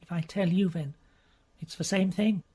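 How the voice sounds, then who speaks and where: A woman voicing a distraught young noblewoman with a breathless, pleading, high voice. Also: moderate pace, warm tone, husky. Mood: composed.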